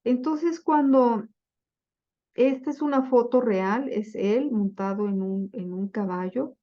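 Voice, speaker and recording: A woman giving a slide-based lecture on Bible translation, speaking deliberately with frequent fillers and self-corrections. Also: fairly high pitch, dull audio.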